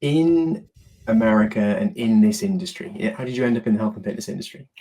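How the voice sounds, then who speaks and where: A man hosting a podcast interview, upbeat and quick with short affirmations. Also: fairly low pitch, lively intonation, mellow, low-quality recording. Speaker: podcast host.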